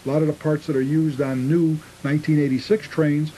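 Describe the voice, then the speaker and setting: A male guest with a mellow, slightly high voice explains his craft in casual interview talk. The old cable TV tape carries a faint hiss. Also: level tone, fairly quick, rough recording. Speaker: guest, model train restorer.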